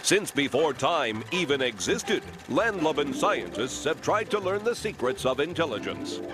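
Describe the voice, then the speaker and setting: A man's voice: fairly high pitch, very animated, moderate pace. A man playing a grandiose, theatrical narrator of a mock-educational cartoon film. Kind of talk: scripted documentary parody narration. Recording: music behind the narration.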